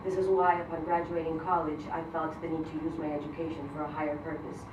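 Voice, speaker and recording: A woman from Colombia, a Spanish speaker, giving an earnest, measured prepared speech as a grant recipient. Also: sits low, little pitch movement. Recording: roomy, distant mic, noisy event hall.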